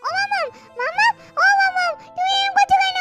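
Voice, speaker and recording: A woman's voice: very high voice, steady tone, a little fast, a bit bright, soft background music. A woman voicing the bewildered, innocent ghost of a little girl in a doll-story cartoon, pleading childishly and repeating her calls.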